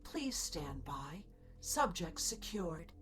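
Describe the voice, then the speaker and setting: Woman as emotionless computer announcer, slow, lowish pitch, detached, procedural, sci-fi audio drama, loud music behind. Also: very animated. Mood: impassive.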